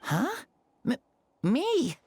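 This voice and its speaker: An actress playing an innocent, dazed young villager in an anime game, speaking in a hesitant, wondering way. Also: female voice, high-pitched, huge pitch swings, very slow, darkish tone, dry recording, exaggerated voice. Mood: dazed, confused.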